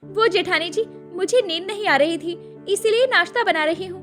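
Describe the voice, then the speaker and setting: Very high-pitched, harried young daughter-in-law, acted by a woman. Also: normal speed, music in the background. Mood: busy, eager. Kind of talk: dramatised Hindi moral-story acting.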